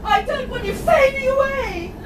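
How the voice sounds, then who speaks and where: A woman's voice: very high voice, moderate pace, over a noisy crowd, heavy background noise. A woman playing a haughty, theatrical pantomime stepmother, recorded from a distance in an echoey room with an audience. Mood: doting, cooing. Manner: theatrical, cooing, scornful delivery. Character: haughty, doting pantomime stepmother, fawning over daughters. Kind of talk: pantomime stage acting.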